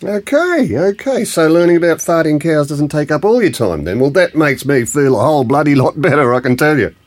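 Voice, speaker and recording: A man's voice: a little high, lively intonation, fairly quick. A man playing a blunt, teasing old Australian grandfather in a naturalistic audio drama. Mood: gruff disbelief. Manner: blunt colloquial speech, teasing, ends phrases with "eh".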